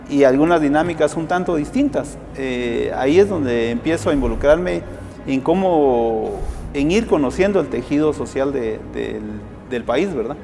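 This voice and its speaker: A man's voice: on the high side, creaky, dark tone, long reverb tail. Hesitant Guatemalan man answering interview questions. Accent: Guatemalan Spanish. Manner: hesitant, frequent "eh" fillers and restarts. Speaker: guest, civil society activist.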